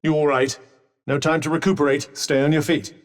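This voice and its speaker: Male actor as talking magic cuff, British accent, high voice, sardonic, eloquent, urgent battle coaching, video game companion, slightly distant sound. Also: flat delivery, fairly quick, lightly acted. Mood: urgent, instructing.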